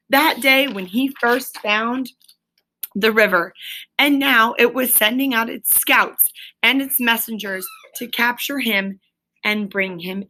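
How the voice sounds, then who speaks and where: A woman's voice: on the high side, sharp tone, poor audio. A woman teacher reading a story aloud and explaining it to pupils, with an emphatic, questioning delivery.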